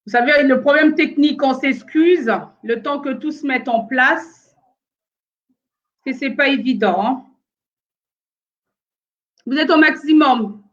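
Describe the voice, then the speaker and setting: A woman from France with Congolese roots hosts a casual live stream from her home. Her voice sits a little high, and she talks haltingly, with many fillers, as she works through sound problems with her callers. The audio is dull and lo-fi. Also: a bit bright. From France, of Congolese origin.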